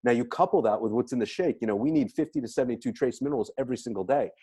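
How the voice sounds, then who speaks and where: Male health expert, average pitch, emphatic and salesy, explaining products with repetition for stress. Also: racing, warm tone, breathy edge. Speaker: health practitioner promoting supplements. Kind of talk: enthusiastic product presentation.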